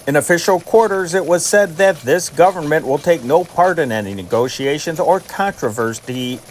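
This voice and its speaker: A man reading scripted news dispatches in a formal, measured newsreader manner. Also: a little high, a little hiss or hum. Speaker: narrator and channel host reading historic news dispatches.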